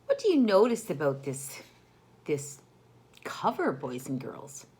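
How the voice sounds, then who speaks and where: Female children's storyteller, teacherly, highly varied intonation, unhurried delivery, speaks straight to the kids. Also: a little hiss or hum. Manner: expressive, teacherly, often addressing the young listeners directly. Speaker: storyteller reading a picture book to children. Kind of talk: children's read-aloud with questions to the listeners.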